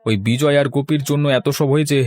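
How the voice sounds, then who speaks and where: A man's voice: on the high side, fairly even intonation, fast, a little mellow, lightly acted. A man voicing an aggrieved, demanding village man in a cartoon. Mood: indignant. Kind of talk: Bengali cartoon voice acting.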